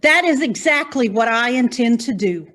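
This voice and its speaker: A woman acting in a stage farce as a bold, nosy newspaper reporter with a melodramatic, deliberate delivery. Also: on the high side, lively intonation, a bit bright. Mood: determined.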